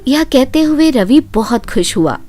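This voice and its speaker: A woman tells a children's moral tale in a high, lively voice. She narrates expressively and takes her time, with soft music behind her. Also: unhurried. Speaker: story narrator.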